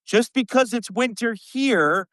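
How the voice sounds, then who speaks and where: A man co-hosting a live paranormal podcast, rambling in a casual, animated way and venting about things that annoy him. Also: very high voice, takes it slowly, dry recording, clean studio sound. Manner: animated, rambling, exasperated asides. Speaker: podcast co-host. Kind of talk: casual live-stream chat.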